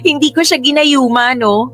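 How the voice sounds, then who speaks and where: A woman's voice: high voice, fairly bright tone, heavily acted. An actress playing a breezy, self-assured, lovestruck young Filipino woman in a radio drama, playful and chatty. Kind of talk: Tagalog radio drama acting. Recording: music bed under dialogue.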